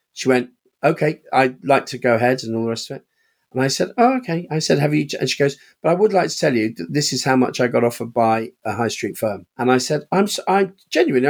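A man co-hosting a podcast, telling animated stories from his auction house, acting out quoted voices and laughing often. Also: mid-range voice. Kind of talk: casual chat.

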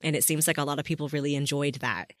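A woman with a slightly low voice hosts a casual interview show. She is effusive and chatty as she talks with her guest. The sound is very dry, with no room echo. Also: steady tone, quick pace, fairly bright tone. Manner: enthusiastic, effusive. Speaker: podcast host interviewing a guest.

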